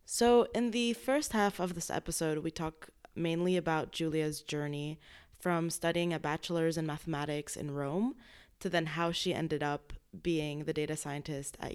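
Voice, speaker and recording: A woman hosting a podcast, introducing the episode and interviewing her guest in a friendly, relaxed way. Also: low-pitched, clear, resonant tone. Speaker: podcast host.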